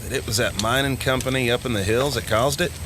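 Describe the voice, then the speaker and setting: An actor playing a chatty, teasing, excitable small-town American deputy with a folksy, colloquial manner in an audio drama. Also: male voice, mid-range voice, fast, background noise, close to an everyday voice. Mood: curious, uneasy. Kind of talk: full-cast audio drama acting. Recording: music bed under the dialogue.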